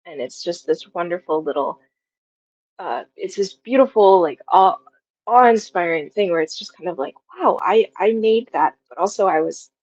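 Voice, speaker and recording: A woman's voice: on the low side, animated, moderate pace, a little mellow, no echo. Young woman, dry slightly muffled recording. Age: 20s. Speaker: guest, audio drama writer. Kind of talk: casual interview, reflective storytelling.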